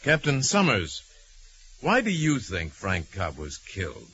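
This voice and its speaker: Low-voiced, articulate hero investigator. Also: male voice, wildly swooping pitch, a little slow, a bit bright, exaggerated voice. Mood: calm, probing. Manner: articulate, formal, questioning. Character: calm, reasoning male hero investigator, quietly probing. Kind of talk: 1940s radio acting. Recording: faintly noisy, dull vintage 1940s radio recording.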